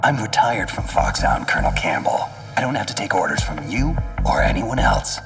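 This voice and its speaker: A gruff, battle-worn operative in a cinematic drama. His voice is very low and gravelly, swinging between murmur and bite as he questions everything warily. It sounds set back, with music underneath. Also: huge pitch swings, normal speed, far from the mic, heavily acted. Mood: defiant, guarded. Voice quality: gravelly, breathy rasp. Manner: terse, suspicious questioning. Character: gruff, wary retired special forces operative. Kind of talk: cinematic voice acting.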